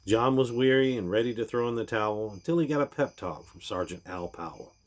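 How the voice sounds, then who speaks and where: A man's voice: mid-range voice, a little fast. Theatrical man reading a rhyming storybook. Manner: theatrical, emphatic, swelling and dropping at line ends. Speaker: reader of a parody Christmas storybook. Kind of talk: dramatic rhyming storybook read-aloud.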